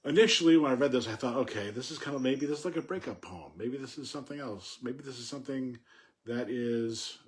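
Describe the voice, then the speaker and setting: A man informally analysing a poem, thinking aloud in a halting, reflective way with pauses between short phrases. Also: mid-range voice. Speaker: poetry commentator.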